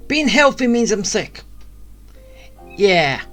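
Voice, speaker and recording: A woman's voice: mid-range voice, very animated, slow pace, a bit bright. A woman giving casual, sarcastic gameplay commentary as a streamer, grumbling a little, with game music behind.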